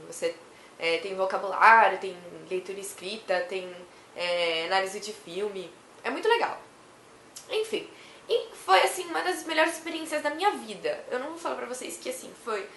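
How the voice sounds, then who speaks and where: A young woman from Brazil who is hoarse from a cough, chatting to camera in a rambling way and laughing often. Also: high-pitched, bright, forceful tone, roomy sound. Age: young adult. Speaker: vlogger. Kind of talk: casual chat to camera.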